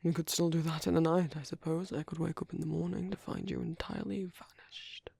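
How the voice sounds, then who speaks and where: A man with a British accent playing a gentle, protective vampire priest in an ASMR roleplay, speaking softly and hesitantly with courteous, formal phrasing. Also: fairly high pitch, flat delivery, brisk. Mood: tender, earnest. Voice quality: soft, breathy, husky, often near-whispered. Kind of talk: ASMR roleplay, intimate soft-spoken monologue.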